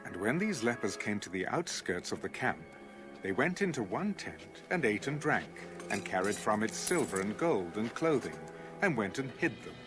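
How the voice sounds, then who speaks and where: A man's voice: fairly low pitch, sing-song, normal speed. A man narrating scripture as a dramatized audio production. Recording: music under the voice. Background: background music.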